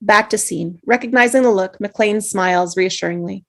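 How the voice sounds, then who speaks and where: A woman narrating the stage directions in a table read, with steady, measured script reading. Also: mid-range voice, gravelly. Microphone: video call.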